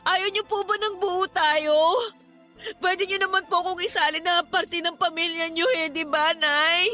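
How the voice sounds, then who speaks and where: A woman's voice: very high-pitched, fairly even intonation, unhurried, sharp tone, soft background music, heavily acted. An actress playing a stubborn, lovesick young mother in a radio drama, pleading tearfully but defiantly. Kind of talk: Tagalog radio drama acting.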